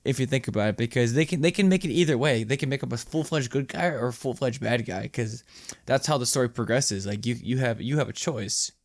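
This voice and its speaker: Young man chatting bluntly in halting bursts. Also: mid-range voice, no room sound, clean studio sound. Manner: blunt, sweary, halting with repeated words. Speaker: podcast co-host. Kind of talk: casual chat.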